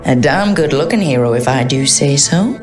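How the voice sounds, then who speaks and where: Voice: medium pitch, animated, normal speed, a little mellow, soft background music, natural voice. A voice actor playing a young elf adventurer and former rogue who talks in a wry, sarcastic, joking way. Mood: reflective.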